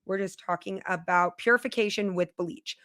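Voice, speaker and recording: A woman's voice: mid-range voice, fairly even intonation, moderate pace, fairly bright tone, dry recording. Woman, live-stream host, chatty, reads comments aloud, dry room sound. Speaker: livestream host. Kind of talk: casual live-stream chat. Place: her home.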